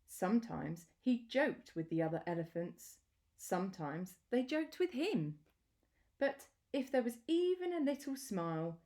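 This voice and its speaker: Slow, mellow woman reading a storybook. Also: lowish voice. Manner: deliberate, expressive storytelling with pauses. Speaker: teacher reading a picture book aloud. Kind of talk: children's storybook read-aloud.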